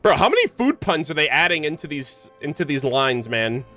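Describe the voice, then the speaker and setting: A man's voice: a little high, big pitch swings, normal speed, pushed and bright, soft background music. A young man streaming a game with casual, slangy commentary, joking and incredulous. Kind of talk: casual live gameplay commentary.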